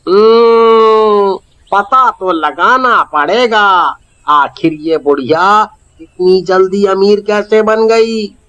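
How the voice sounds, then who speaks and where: A man voicing a scheming, envious villager in a cartoon folktale, with exaggerated, drawn-out musing and exclamations. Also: high voice, fairly bright tone, smooth, highs cut off, heavily acted. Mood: suspicious, puzzled. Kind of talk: Hindi cartoon story voice acting.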